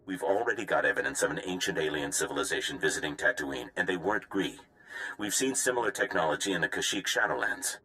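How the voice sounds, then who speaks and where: A deep, forceful, frustrated scientist. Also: male voice, smooth, rough recording. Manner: urgent, opinionated. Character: frustrated, insistent male scientist lodging warnings.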